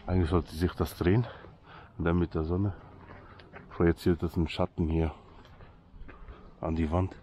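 A man's voice: low-pitched, level tone, moderate pace, long pauses, dark tone, slight background noise. A Swiss man whose first language is Swiss German, speaking with a Swiss accent, chatting and joking to his viewers outdoors by a lake. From Switzerland. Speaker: vlogger. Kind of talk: casual vlog commentary to viewers.

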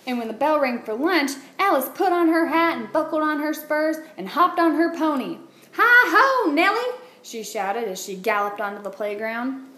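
A woman, a teacher, reading a children's picture book aloud with expressive, deliberate phrasing. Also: a little high. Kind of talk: read-aloud storytelling for children. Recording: roomy echo, light background noise.

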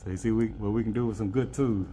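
A man's voice: on the low side, fairly even intonation, quick pace. A man giving a casual hands-on demonstration as an HVAC instructor, often repeating short phrases encouragingly. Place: indoor HVAC training workshop. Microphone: handheld phone camera. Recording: noisy workshop with loud equipment hum.

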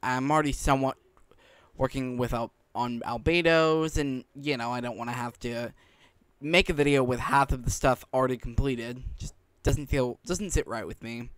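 A man giving casual, rambling commentary on a game playthrough, halting and often rephrasing himself. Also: medium pitch, a bit bright, smooth, no echo. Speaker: gaming video creator. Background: game music.

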